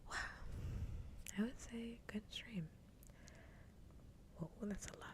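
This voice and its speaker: A woman whispering softly and breathily in a casual, playful ASMR livestream, close to a sensitive microphone. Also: medium pitch, slow pace, background noise. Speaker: livestream host.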